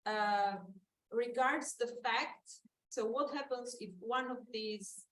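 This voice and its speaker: A woman's voice: a little high, slow, fairly bright tone. A woman giving an academic conference talk, hesitant, with many fillers and restarts. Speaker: conference panel speaker. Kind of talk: academic presentation. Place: conference hall. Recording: roomy hall mic from far off with hiss.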